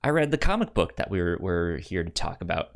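A man hosting a podcast in casual conversation, halting and stuttering on the first sounds of words, with an enthusiastic manner. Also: sits low, sing-song, gravelly. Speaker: podcast review show host.

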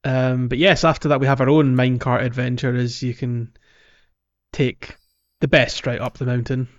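A man's voice: mid-range voice, level tone, normal speed, lo-fi sound. A man hosting a podcast, chatting casually about gaming in a jokey way, often chuckling as he talks. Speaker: podcast host.

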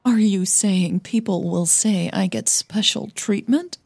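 A woman's voice: medium pitch, slow pace, gravelly, darkish tone, slightly rough, dry recording, studio quality, heavily acted. A woman voicing an upset young female singer in an audio drama, defiant and pleading, then defeated. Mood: hurt, stammering. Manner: stammering, pleading.

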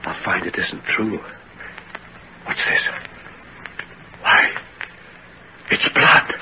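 An actor playing a guilt-stricken, horrified gentleman doctor in 1940s radio melodrama, pleading and halting on an old recording. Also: sits low, steady tone, muffled recording, background noise. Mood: horrified despair.

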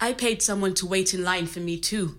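A woman's voice: mid-range voice, moderate pace, fairly bright tone, lightly acted. An actress playing a resourceful, candid woman recounting her working life in a conversational storytelling style. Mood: candid, exasperated. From Zimbabwe. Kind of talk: scripted oral-history storytelling in conversational manner.

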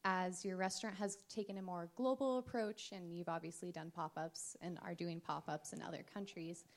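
An American-accented woman with a lowish voice asks a question from the audience. She talks quickly and chattily, looping through her question. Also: fairly even intonation. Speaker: audience member asking a question.

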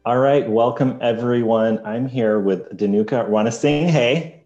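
A man hosting a podcast interview, relaxed and self-deprecating, laughing often. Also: medium pitch, unhurried, echoey room, lo-fi sound, faint music behind. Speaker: podcast host. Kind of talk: casual interview chat.

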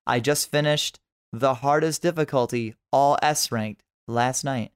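Casual man chatting, medium-pitched voice. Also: unhurried, clean tone. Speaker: podcast guest. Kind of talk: casual chat.

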